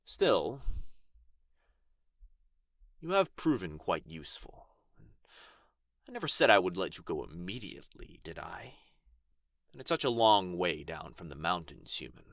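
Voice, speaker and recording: A man's voice: low-pitched, big pitch swings, a little slow, gravelly, pauses often, a bit bright, no room sound, studio quality, heavily acted. A man playing a proud, pompous male dragon in a fantasy ASMR roleplay, with archly formal, theatrical phrasing. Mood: softening, grateful. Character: proud, haughty injured male dragon, grudgingly grateful, teasingly possessive.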